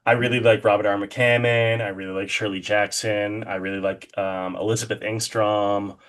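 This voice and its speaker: A man's voice: lowish voice, level tone, moderate pace, fairly bright tone. A man speaking casually and enthusiastically as a guest in a conversational interview. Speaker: interview guest, horror artist.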